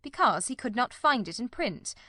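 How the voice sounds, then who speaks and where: Confident gentleman detective, read by a woman, analytical, didactic, precise, storybook mystery, dry close recording. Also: fairly high pitch, very animated, moderate pace, no room sound, pitched above the performer's own voice, exaggerated voice. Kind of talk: audiobook character reading.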